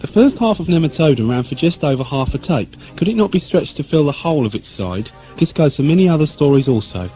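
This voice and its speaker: A man's voice: on the high side, lively intonation, fast, hardly any pauses, mellow, faint music behind. A man reading listeners' letters aloud in a scripted style. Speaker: letter reader.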